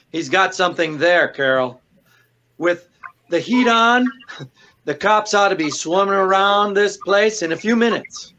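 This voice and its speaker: A man playing a wry, unruffled married gentleman detective in a 1940s radio drama, with a dry delivery. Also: high-pitched, unhurried. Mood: wry, calm. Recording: dull, noisy amateur recording.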